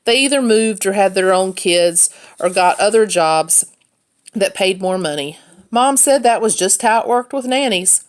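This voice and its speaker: A woman's voice: mid-range voice, lively intonation, a little slow. A woman reading a children's novel aloud as a first-person storyteller. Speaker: read-aloud narrator, teacher.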